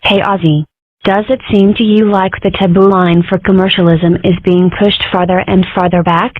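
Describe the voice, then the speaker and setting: A spirited, wry girl fox, voiced by a woman speaks at a middling pitch with a sardonic edge. This is a comic-strip dub. The sound is dry and tinny, as if heard over a phone. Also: moderate pace, no echo, natural voice. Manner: sardonic and lively.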